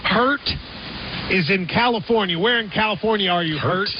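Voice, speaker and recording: High-voiced man hosting sports radio. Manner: quick, teasing, trivia-minded. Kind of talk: call-in radio banter. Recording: muffled radio broadcast with noise.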